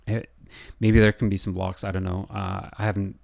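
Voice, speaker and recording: A man with a hoarse, scratchy voice, losing his voice, talking through a software design tutorial and thinking aloud with lots of pauses and fillers. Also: sits low, flat delivery. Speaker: building designer.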